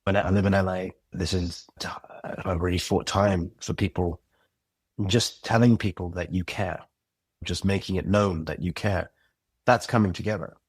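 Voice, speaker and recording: A man's voice: sits low, fairly even intonation, moderate pace, slightly rough, no echo. A man who creates and directs audio drama, speaking as a guest in a casual interview, earnest, reflective and passionate.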